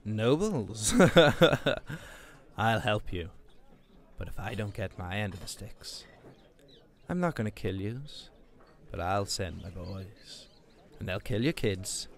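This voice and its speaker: A slow-talking villain of radio drama. He is a greedy backstreet weapons dealer, sly and theatrical, who drags out his threats and sales talk alike. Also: fairly low pitch, lively intonation. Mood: menacing. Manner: sly, theatrical, mangles plurals.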